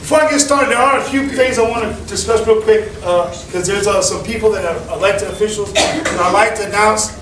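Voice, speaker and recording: Loud folksy man in echoey hall. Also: sits high, a little fast, hardly any pauses, distant mic, noisy background. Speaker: meeting chairman. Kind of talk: public address to a meeting. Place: community center hall.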